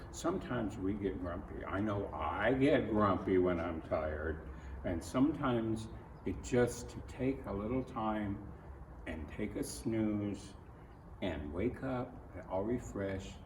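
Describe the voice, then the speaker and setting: Slow-reading older man in an echoey room. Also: fairly low pitch, animated. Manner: folksy, grandfatherly, speaks directly to children. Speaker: storybook reader. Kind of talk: read-aloud of a children's picture book with chatty asides. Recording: roomy echo, distant mic, noisy background.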